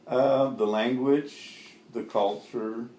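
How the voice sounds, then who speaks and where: A Lebanese-born man in his fifties, Arabic his first language, answering an oral history interview in a halting way with many fillers and restarts. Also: mid-range voice, fairly even intonation, dark tone, reverberant, slight background noise.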